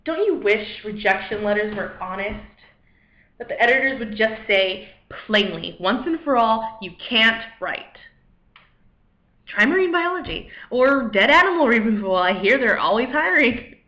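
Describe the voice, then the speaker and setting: A woman acting a dramatic monologue as a weary, self-deprecating failed poet, anxious and rambling, in a halting, wry, conversational way. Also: medium pitch, expressive, a bit bright, natural voice. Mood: despairing, sardonic. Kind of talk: dramatic monologue, cold read. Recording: echoey room, dull lo-fi microphone.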